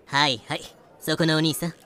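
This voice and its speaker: A man's voice: on the high side, fairly even intonation, a little fast, bright, forceful tone, slight background noise. A man voicing a bold, easygoing, scheming female pirate captain with breezy, self-assured feminine phrasing in a fan audio drama. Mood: sly, coaxing.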